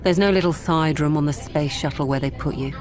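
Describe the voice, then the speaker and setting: Actress as stubborn wry lady lawyer in a radio play, low voice, music underneath. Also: brisk, natural voice. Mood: wry, reflective. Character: composed, stubborn female lawyer and robot psychologist. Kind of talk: radio drama narration and dialogue.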